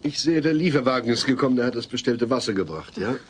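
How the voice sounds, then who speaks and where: A pedantic suburban father acted by a man in a comedy audio play. He speaks formally, with long-suffering patience, at a middle pitch. Faint cassette noise sits behind the voice. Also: animated, lightly acted. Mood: weary, exasperated. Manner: formal, measured, exasperated. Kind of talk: German sitcom audio play.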